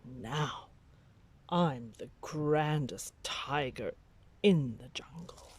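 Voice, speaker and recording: A woman voicing a menacing, boastful tiger for a children's storybook, with slow, partly whispered threats. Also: low voice, slightly rough, heavily acted.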